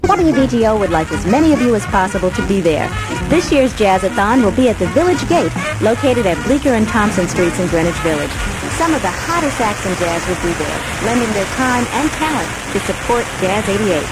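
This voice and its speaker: A woman's voice: medium pitch, big pitch swings, fairly quick, rough recording. A woman radio announcer reading a scripted event promo in a 1980s radio broadcast. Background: music.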